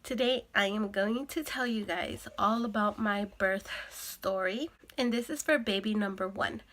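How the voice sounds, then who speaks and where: A woman's voice: mid-range voice, unhurried, a bit bright. A young woman vlogger with a sore throat and a congested nose, chatting casually to camera with lots of fillers. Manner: chatty, with frequent fillers and laughs.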